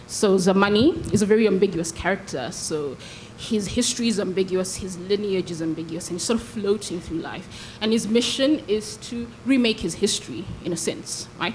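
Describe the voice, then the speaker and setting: A woman novelist introducing her book and reading an excerpt aloud at a public literary event, speaking in a measured way with frequent pauses. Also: on the low side, a little hiss or hum, low-quality recording. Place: public hall.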